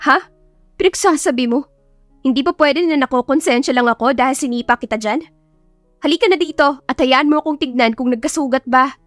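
A woman's voice: sits high, a little fast, dry recording, close to an everyday voice. A woman voicing a naive, feisty young bride in an audiobook, stammering and exclaiming shrilly. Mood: innocent concern. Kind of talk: audiobook character acting. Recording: music behind the voice.

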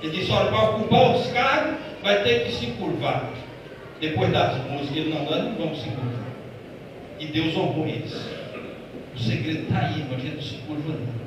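A Brazilian Portuguese pastor with a fairly high voice preaches in a church, his voice rolling around a big echoing hall. He is picked up from across the room, with ambient noise underneath. He swells from hushed, quick asides into loud, slow, stretched-out declarations. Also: lively intonation. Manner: preaching with swells from quiet to loud, drawn-out emphatic phrases; addresses listeners as "irmão" and asks them questions. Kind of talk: Pentecostal sermon. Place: church sanctuary. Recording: echoey church hall from a distance.

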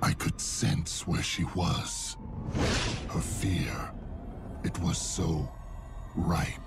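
Very deep, gravelly sadistic killer. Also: male voice, fairly even intonation, loud music behind the voice, heavily acted. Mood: gloating, savoring. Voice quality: gravelly, breathy whispers. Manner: slow, savoring, menacing delivery. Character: sadistic adult male serial killer clown, gloating, menacing. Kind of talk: dramatic horror monologue in a video game.

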